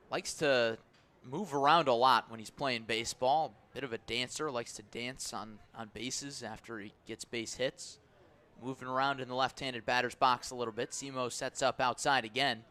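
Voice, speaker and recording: Male baseball play-by-play radio voice, slightly high and bright, measured delivery that builds to urgent calls. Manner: measured between pitches, swelling to excited calls on plays. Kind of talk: live radio sports commentary.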